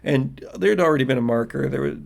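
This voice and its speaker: A man narrating a tabletop role-playing game as game master, in a halting, conversational, wry way. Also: medium pitch, creaky.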